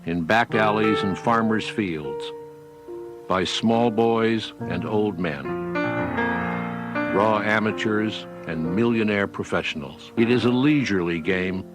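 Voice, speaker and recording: A man giving scripted documentary narration in measured, poetic phrases. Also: on the low side. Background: guitar music.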